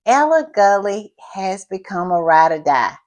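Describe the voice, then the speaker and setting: Slow-talking woman with a froggy voice. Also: mid-range voice, big pitch swings. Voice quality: froggy, hoarse. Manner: drawn-out, repetitive thank-yous and southern-style "y'all" asides. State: tired. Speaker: channel host. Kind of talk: casual commentary chat.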